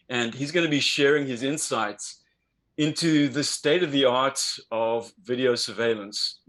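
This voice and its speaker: A man hosting a business interview over a video call, speaking in a measured way with frequent fillers and restarts. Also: medium pitch, a bit bright. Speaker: interview host.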